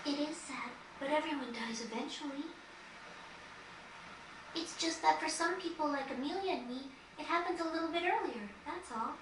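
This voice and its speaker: Casting: actress as very high, sweetly earnest girl of fourteen, consoling, game cutscene; echoey, noisy recording. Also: normal speed, recorded from a distance, close to an everyday voice. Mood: tender, accepting. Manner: soft-hearted, sincere, earnest. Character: gentle, accepting fourteen-year-old girl, sickly yet consoling. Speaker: voice actor in a fantasy game. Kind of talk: dramatic game cutscene dialogue.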